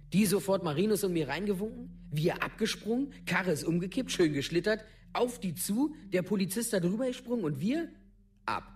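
Man playing a rough-talking teenage village lad. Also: a little high, a little mellow, lightly acted. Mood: excited. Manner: colloquial, clipped storytelling in fragments. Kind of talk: documentary radio play monologue.

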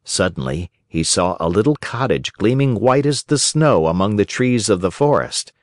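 Expressive male storyteller, lively intonation. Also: fairly low pitch, normal speed, no room sound. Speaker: audiobook narrator. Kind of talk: scripted children's story narration.

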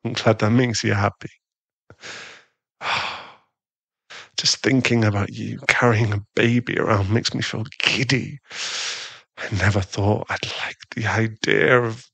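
A man's voice: mid-range voice, fairly bright tone, dry recording. A man playing a jealous, doting werewolf husband in an intimate ASMR boyfriend roleplay, teasing and a little bratty. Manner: slow, intimate, teasing, with sighs and stammers.

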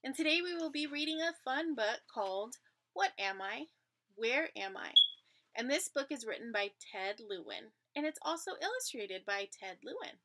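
A woman in her thirties with a bright, fairly high voice leads an interactive read-aloud for young children. She keeps asking questions and wondering aloud. There is clear background noise with some clicks. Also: very animated, normal speed. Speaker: teacher reading aloud to children. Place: indoors in front of a dark curtain.

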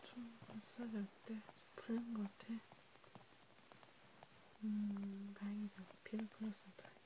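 A woman whispering softly and breathily in a gentle, soothing ASMR roleplay, with pauses and murmured acknowledgements, as if chatting to one listener. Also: medium pitch. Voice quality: whispery, breathy soft speech. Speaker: ASMR creator, host. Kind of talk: ASMR hand-massage roleplay, soft one-sided chat. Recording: clear steady background hiss, ambient noise.

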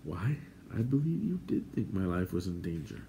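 A man voicing a noble warrior lord in a storybook, formal, commanding and gently stern. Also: low voice, sing-song, moderate pace, creaky, a little hiss or hum, exaggerated voice. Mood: gently reproving.

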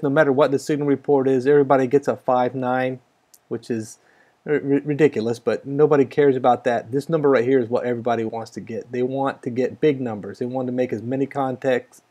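A man's voice: medium pitch, a little mellow. A middle-aged man with an American accent talking casually and informally as an amateur radio hobbyist. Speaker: amateur radio operator and vlogger. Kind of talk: casual vlog talk with radio call-outs. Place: small home radio room, indoors. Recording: noisy room with radio static.

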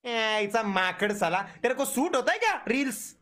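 Young male streamer, high and very lively delivery, casual teasing reactions. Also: moderate pace. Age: young adult. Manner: teasing, animated banter. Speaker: gaming streamer. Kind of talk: casual live reaction commentary.

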